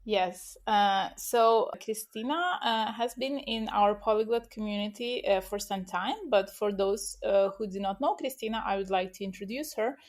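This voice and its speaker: A woman's voice: medium pitch, normal speed, few pauses. A woman hosting a podcast interview in a casual way, full of hesitant filler sounds. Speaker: podcast host.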